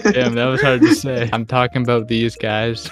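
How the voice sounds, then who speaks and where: A young man presents a joke slideshow to his friends. He talks in a goofy, slangy and profane way at an everyday male pitch, with music clearly audible underneath. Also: flat delivery, moderate pace. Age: young adult. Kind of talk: casual joking slideshow presentation.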